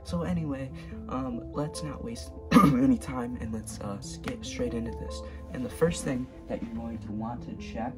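A man's voice: medium pitch, normal speed. A teenage male with a stuffy, congested voice from a cold, giving a casual tech tutorial in a rambling, hesitant way. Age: teens. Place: bedroom at home. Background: background music.

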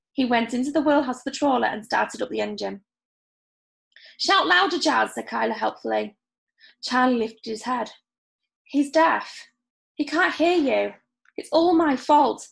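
A woman's voice: sits high, vocal fry, a bit bright. A young woman reading a children's story aloud, voicing the dialogue. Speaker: story reader. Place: indoors in a home room.

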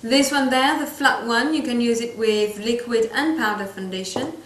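Brief: French-accented woman, 30s, playful chatty vlogger, slightly high voice, roomy echo. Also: normal speed, a bit bright. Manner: chatty, playful asides, searching for English words. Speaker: makeup vlogger. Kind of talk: casual tutorial to camera. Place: small room indoors, seated.

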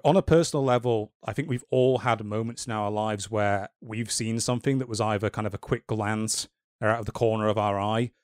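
A man's voice: on the low side, a little mellow, no room sound. Dry-voiced man hosting a chatty podcast. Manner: measured, wry and dryly joking. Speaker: podcast host. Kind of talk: casual podcast discussion.

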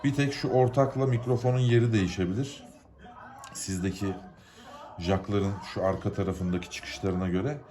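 A man's voice: fairly low pitch, fairly even intonation, normal speed, dark tone. A man from Turkey gives an informal, unscripted how-to, explaining step by step in plain, colloquial words. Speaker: hobbyist giving a DIY repair tutorial.

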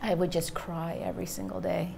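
A woman in her forties, a Korean native speaker, talks in a low, gravelly voice with little rise and fall. She recounts her past in a measured, reflective way on a studio panel. A faint room hum sits behind her. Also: flat delivery, darkish tone. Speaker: panel guest, journalist. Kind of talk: personal story in a panel talk. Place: studio stage with a small audience.

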